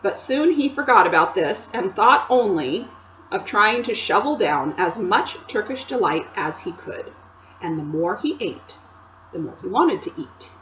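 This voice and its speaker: Slow, expressive woman reading aloud. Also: mid-range voice, muffled recording, slight background noise. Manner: expressive storytelling with chatty asides to listeners. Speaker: teacher reading to children. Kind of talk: reading a chapter book aloud.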